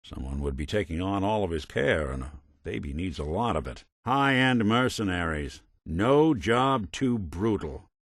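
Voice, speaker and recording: Hardboiled android detective, game dialogue, world-weary, gruffly kind, clipped phrasing, low pitch, dry close recording. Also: male voice, animated, unhurried, hardly any pauses, no echo, exaggerated voice. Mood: businesslike, probing. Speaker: video game character.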